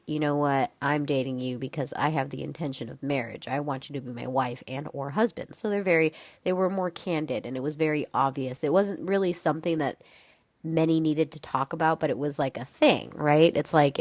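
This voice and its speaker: Low-voiced congested young woman podcasting. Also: darkish tone, dry recording. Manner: chatty, frank, swears casually, many fillers. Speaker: podcast host. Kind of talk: casual solo podcast talk.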